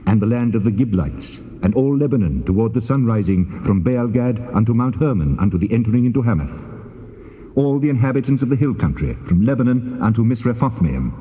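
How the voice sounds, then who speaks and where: A man's voice: on the low side, warm tone, lightly acted. Man voicing a solemn, echoing voice of God. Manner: stately, measured, declamatory. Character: solemn, commanding male voice of God. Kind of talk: dramatized scripture reading. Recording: muffled tape, long reverberant hall effect.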